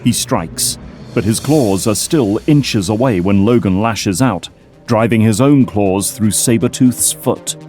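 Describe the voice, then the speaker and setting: Lowish-voiced male narrator over music. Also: measured pace, darkish tone. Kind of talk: dramatic scripted narration. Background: dramatic music.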